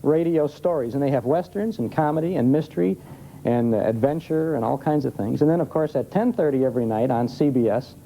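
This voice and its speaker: A man's voice: medium pitch, brisk, creaky, dark tone. An American man speaking as an expert guest in a TV interview, explaining things conversationally. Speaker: guest, old-time radio expert. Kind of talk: live TV interview. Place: television studio with audience. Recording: noisy vintage TV broadcast.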